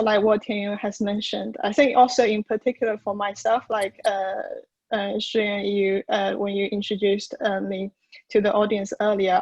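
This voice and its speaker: A woman's voice: medium pitch, a little mellow, no echo, rough recording. A woman in her twenties speaking on a conference panel, hedging often with fillers and restarts.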